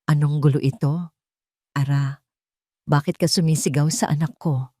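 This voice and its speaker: A woman's voice: low-pitched, fairly even intonation, moderate pace, warm tone, no room sound, studio quality. A woman voicing a cold, wealthy older mother-in-law who scolds with contempt in an audio drama. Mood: sharp, scolding.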